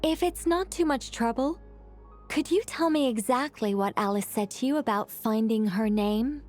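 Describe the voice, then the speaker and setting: Woman voicing a wise calm guide, somewhat high voice, reflective, gently probing, game dialogue. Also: moderate pace, soft background music, natural voice. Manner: thoughtful, reflective, gently guiding. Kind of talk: game cutscene voice acting.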